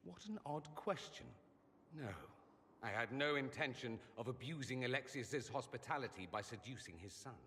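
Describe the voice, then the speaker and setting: A theatrical, swooping-voiced witty mage. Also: male voice, medium pitch, fairly bright tone, long reverb tail. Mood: wry, fond. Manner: theatrical, arch, sardonic delivery. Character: witty, vain mage from a fantasy empire, wryly self-aware. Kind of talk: dramatic video game dialogue.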